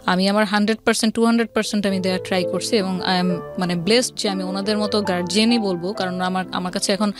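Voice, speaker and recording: A woman's voice: mid-range voice, fairly even intonation, fast talker, over music. A woman with a Bangladeshi accent telling her story earnestly as an interview guest, stressing by repetition and mixing in English words. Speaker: interview guest, event planner.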